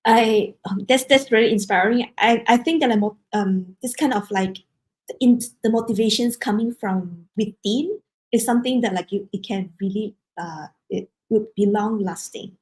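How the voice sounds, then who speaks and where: A woman's voice: medium pitch, normal speed, poor audio. Hesitant woman hosting a casual interview. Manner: hesitant, wordy, peppered with "like, you know". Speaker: interview host.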